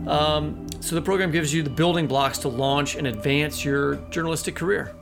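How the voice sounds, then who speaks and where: Male promotional speaker, slightly high register, over a clear music bed. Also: moderate pace.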